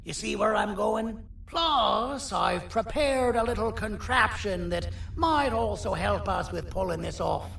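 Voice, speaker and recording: A man's voice: sits high, a little slow, vocal fry, hardly any pauses, a little hiss or hum, exaggerated voice. A man voicing a scheming, persuasive monster in a video game cutscene, with theatrical delivery. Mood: eager scheming. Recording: echoey, distant, loud eerie music behind.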